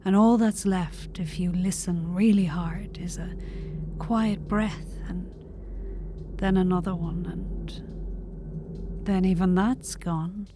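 Slow, low-voiced sardonic woman. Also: steady tone, background noise. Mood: bleak, hushed. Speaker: actor in an audio drama. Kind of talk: audio drama acting.

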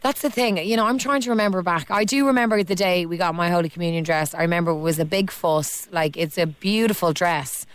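A woman's voice: lowish voice, a little fast, hardly any pauses, smooth. A woman with an Irish accent hosting a phone-in radio show, chatting and teasing callers and reading out listener texts. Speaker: radio host. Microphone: studio mic.

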